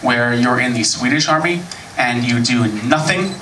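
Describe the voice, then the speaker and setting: A man's voice: mid-range voice, normal speed, heavy background noise. American man doing stand-up, echoey room. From United States. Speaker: stand-up comedian. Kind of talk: stand-up comedy set. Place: comedy club stage. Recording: echoey club recording from far off. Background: audience laughter.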